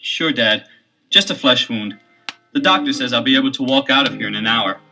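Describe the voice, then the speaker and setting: A man's voice: medium pitch, brisk, bright, forceful tone, soft background music. An actor playing an eager younger teenage boy detective in a 1970s audio adventure, with an emphatic theatrical delivery. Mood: earnest.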